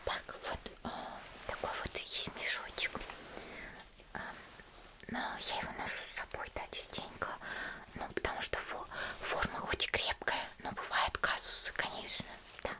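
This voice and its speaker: A woman playing a cheerful, caring young female demon slayer in a soft, chatty whispered ASMR roleplay. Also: measured pace, lightly acted. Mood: helpful, caring. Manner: gentle, chatty, hesitant little murmurs.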